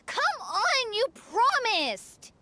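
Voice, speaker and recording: A woman's voice: extremely high pitch, sing-song, drawn-out words, sharp tone, a little hiss or hum, heavily acted. A woman voicing an eager, impatient small child in a video game.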